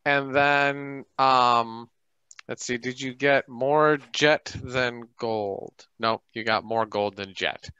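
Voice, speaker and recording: A man with a bright, smooth voice casually walks other players through a tabletop game. He sounds close and dry, on a slightly dull video-call line. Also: mid-range voice, fairly even intonation, slow pace, dry recording. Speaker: game facilitator explaining rules. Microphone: group video call. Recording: dull band-limited online call.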